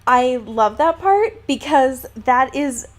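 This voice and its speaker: A woman with a fairly high voice co-hosts a casual podcast about a book. She retells scenes in an amused, conversational way, often dropping into quoted dialogue and padding it with small filler words. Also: expressive, slow pace. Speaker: podcast co-host. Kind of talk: casual book discussion chat.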